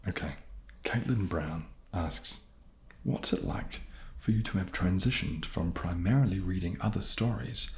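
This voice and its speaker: Deep gravelly guy talking softly, asmr q&a chat, bit self-deprecating and hesitant. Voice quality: low, gravelly, soft-spoken. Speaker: ASMR video creator and host. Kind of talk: soft-spoken ASMR question-and-answer chat.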